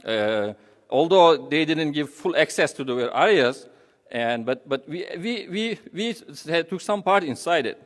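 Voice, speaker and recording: A man with a Turkish accent gives an informal talk in a hall with long reverb. His voice sits a little high, and he speaks haltingly, circling back and repeating phrases as he goes. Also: animated. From Turkey. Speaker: humanitarian charity representative speaking. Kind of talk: informal public speech.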